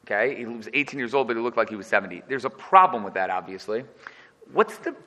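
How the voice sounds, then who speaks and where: A man's voice: medium pitch, expressive, fast talker, sharp tone, big-room sound, low-quality recording. A man giving an informal, joking Torah class and putting questions to his students. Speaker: Torah teacher.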